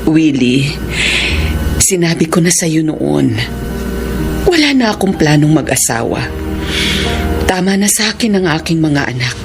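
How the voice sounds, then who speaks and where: A woman's voice: sits low, big pitch swings, measured pace, creaky, pauses often, over music, exaggerated voice. An actress playing a loving, self-sacrificing middle-aged mother and grandmother in a Filipino radio drama. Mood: gently firm.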